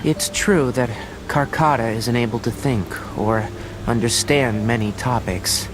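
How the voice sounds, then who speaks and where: An actor playing a calm, earnest young researcher in a video game, explaining things in a measured, considerate way. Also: male voice, mid-range voice, music in the background, close to an everyday voice, poor audio.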